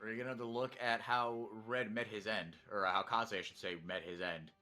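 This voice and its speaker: A Brazilian-born man casting esports play-by-play from a broadcast booth, excitable and quick to shout through big plays. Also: on the low side, faint music behind. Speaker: play-by-play caster.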